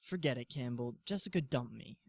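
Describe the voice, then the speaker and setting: A man's voice: mid-range voice, lively intonation, brisk, gravelly, a little mellow, husky, faint music behind. Troubled youth male actor, soap drama: sullen, bitter, sardonic, mid-range voice. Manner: glum, sardonic. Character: troubled, sullen young male art student, withdrawn and bitter. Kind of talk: scripted audio soap drama acting.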